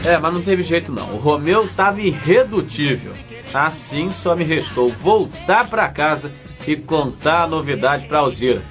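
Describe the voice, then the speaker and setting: A hapless Brazilian father in a comic radio soap. His delivery is colloquial, cajoling and very lively, in a slightly high voice. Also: normal speed, faint music behind. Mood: wry storytelling. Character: hapless, pleading young father narrating his own story.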